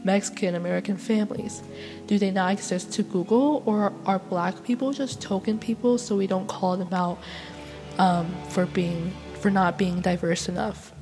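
A woman's voice: mid-range voice, steady tone, moderate pace, creaky, long reverb tail, over music. A young woman with a congested voice, sick with a cold, speaking outspokenly in a solo class podcast reflection. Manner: outspoken and opinionated. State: congested from illness. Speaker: education student.

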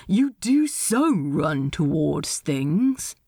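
A woman's voice: sing-song, very slow, a little mellow, breathy edge, dry recording, studio quality, heavily acted. A woman voicing a blunt, laid-back pirate man with a sincere side in a story reading. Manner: casual, blunt, low-key.

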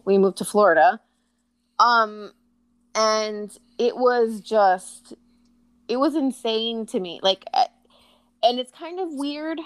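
A woman from Puerto Rico in casual chat, blunt and quick to swear and laugh. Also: medium pitch, dry recording.

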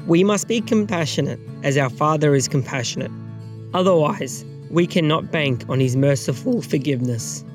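Plucked guitar music plays clearly behind a man's voice. He is a presenter with a slightly high pitch for a man. He delivers scripted religious narration. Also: moderate pace. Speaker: presenter introducing reading and reflection.

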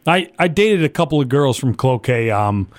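A man hosting a casual radio interview, friendly and quick with a joke. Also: mid-range voice, lively intonation. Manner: joking, friendly, laughs easily. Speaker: radio host.

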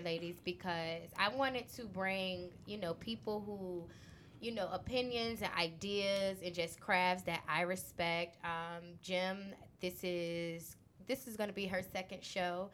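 Woman hosting a chatty podcast w friends, bright voice, giggly, bit of rumble in the recording. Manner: giggly, animated among friends. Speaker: podcast host. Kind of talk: casual group chat.

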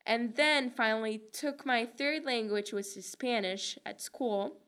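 A woman's voice: on the high side, unhurried, a bit bright, smooth. Young woman from egypt, arabic first language, chatty guest, lots of ums and laughs. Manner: chatty, many fillers, laughs often. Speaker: interview guest. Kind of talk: casual interview answers.

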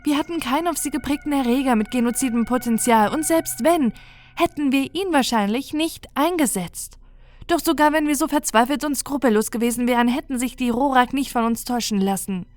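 Storybook companion, firm and persuasive, pitched up, voiced by a woman reader. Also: fairly quick, faint music behind, close to an everyday voice. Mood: earnest. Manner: raised pitch, insistent and lecturing.

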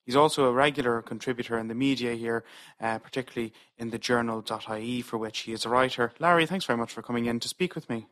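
A man's voice: mid-range voice, fast talker, fairly bright tone, no room sound. Male interview host, conversational questioning style, close dry sound.

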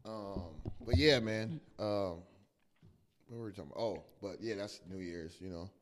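A young American man with a low voice co-hosting a podcast, chatting casually with a friend in slangy, sweary talk. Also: moderate pace, noisy background. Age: young adult. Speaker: podcast co-host.

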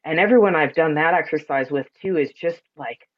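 Deep, resonant woman's voice, slightly dull recording. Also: a little fast. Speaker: podcast guest, spiritual sound and ceremony practitioner. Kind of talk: earnest conversational interview talk.

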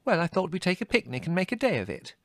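A man's voice: on the high side, big pitch swings, normal speed, no room sound, clean studio sound, voice pushed up. A man voicing a haughty young woman who speaks in an airy, arch, easily offended way.

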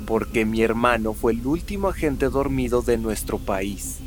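Medium-pitched, firm, serious man played by an actor. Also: moderate pace, faint music behind, close to an everyday voice. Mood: grave. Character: serious, sceptical man used as a soldier. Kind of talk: Spanish-language radio drama acting.

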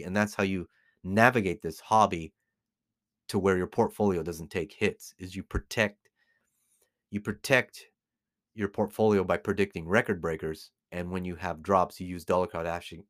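A man's voice: deep voice, steady tone, normal speed, dry recording, studio quality. A middle-aged man with an American accent giving informal, opinionated commentary as a host, emphatically repeating phrases for stress. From United States. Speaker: podcast host, collectibles dealer.

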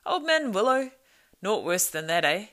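Woman putting on a loud, jolly old storybook man. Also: medium pitch, very animated, normal speed, pitched above the performer's own voice. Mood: indignant, confident. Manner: exclamatory, rhyming, sing-song delivery. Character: jolly boisterous old man of the woods, singing nonsense rhymes. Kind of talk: storybook character voice.

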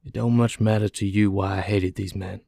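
A man voicing a calm, weary, doomed prisoner who confesses slowly and methodically in an audiobook. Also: on the low side, creaky, dry recording, clean studio sound. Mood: methodical, resigned. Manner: slow, placid, methodical. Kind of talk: audiobook character dialogue.

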